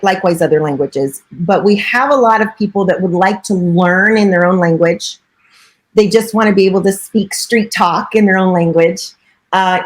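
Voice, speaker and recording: Chatty middle-aged woman broker. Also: medium pitch. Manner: chatty and earnest, with frequent filler phrases. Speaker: real estate broker and coach. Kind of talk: casual interview answers.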